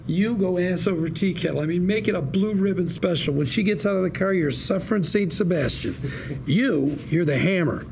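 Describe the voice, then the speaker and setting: An actor playing a slick, fast-talking con-artist lawyer at a drama table read, full of persuasive, slangy patter. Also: male voice, high voice, creaky, darkish tone, a little hiss or hum. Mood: scheming, emphatic. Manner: glib, persuasive patter with colourful slangy quips.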